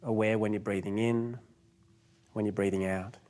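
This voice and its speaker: A man narrating a guided meditation as the guide, giving gentle, unhurried instructions with pauses. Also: lowish voice, level tone.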